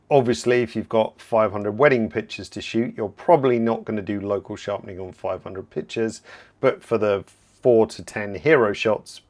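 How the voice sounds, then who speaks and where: A man hosting a live photo-editing software tutorial, explaining steps as he works and answering viewer questions, slightly hoarse and clearing his throat now and then. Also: lowish voice. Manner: relaxed, explanatory, thinking aloud while working. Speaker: software trainer hosting a livestream.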